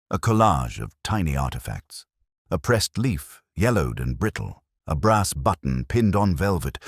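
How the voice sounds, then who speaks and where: A man narrating a first-person detective story in a measured, literary, brooding manner with a husky voice. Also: extremely low pitch, no room sound, clean studio sound.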